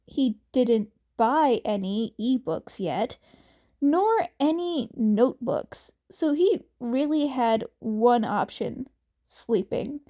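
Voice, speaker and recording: A woman's voice: a little high, animated, takes it slowly, dark tone. A woman narrating a piece of fan fiction as an amateur reader, in a scripted storytelling style.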